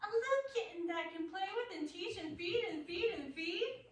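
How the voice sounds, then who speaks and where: A woman playing a mad, pleading asylum patient in a radio play, halting and repeating words with fervent intensity. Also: extremely high pitch, animated. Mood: pleading, eager. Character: raving, pleading mad asylum patient who eats spiders. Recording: roomy, echoey, distant mic with noise.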